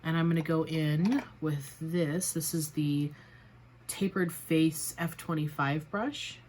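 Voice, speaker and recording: Low-voiced chatty woman, a bit raspy. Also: steady tone, takes it slowly, a little mellow, slight background noise. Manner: chatty, self-deprecating, narrating each step. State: slightly raspy from a cold. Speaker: beauty vlogger. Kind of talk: casual makeup tutorial chat.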